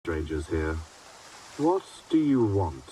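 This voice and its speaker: Low-voiced, wary mercenary leader. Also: male voice, very animated, slow pace, mellow, far from the mic, slight background noise, exaggerated voice, low-quality recording. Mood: cool, wary. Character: wary, pragmatic male mercenary leader, quietly threatening. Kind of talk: video game voice acting.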